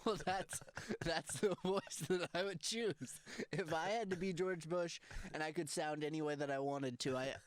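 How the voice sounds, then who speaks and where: A man's voice: a little high, normal speed, hardly any pauses, clear, resonant tone. A man co-hosting a comedy podcast, playfully riffing along with improvised jokes. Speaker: podcast co-host.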